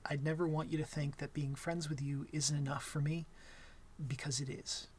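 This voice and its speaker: A man playing a shy, awkward young vampire in an intimate ASMR roleplay, gentle and smitten, speaking softly and hesitantly with stammers and apologies. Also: fairly high pitch, flat delivery, normal speed, slight background noise, lightly acted. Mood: flustered, apologetic.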